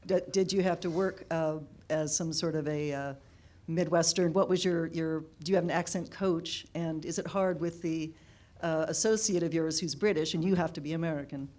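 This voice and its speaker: A woman's voice: deep voice, steady tone, moderate pace, warm tone. A woman in the audience asking a rambling, hesitant question.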